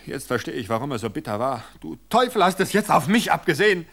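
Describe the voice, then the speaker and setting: A man's voice: fairly high pitch, big pitch swings, fast talker, vocal fry, fairly bright tone, pitched above the performer's own voice, full character voice. A man voicing a panicked, poisoned husband in a radio drama, crying out in a frantic, gasping way.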